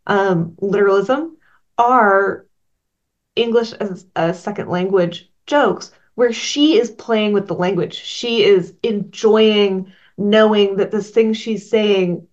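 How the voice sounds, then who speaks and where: A woman's voice: mid-range voice, takes it slowly, lo-fi sound. A woman being interviewed as a comic writer, earnestly explaining her work at length with frequent ums. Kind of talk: thoughtful casual interview talk.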